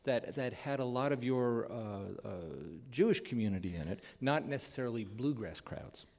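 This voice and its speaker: A man at an ordinary middle pitch hosts a live radio interview. He talks informally and builds his questions haltingly, doubling back on words and rambling a little before he lands on the point. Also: lively intonation, a little mellow. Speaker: radio show host interviewing musicians.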